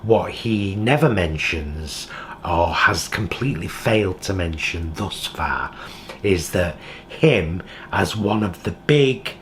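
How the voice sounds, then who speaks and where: A man's voice: low voice, expressive, slow pace, a little hiss or hum. A British man talking in a heartfelt, reflective vlog monologue from his home kitchen. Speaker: vlogger.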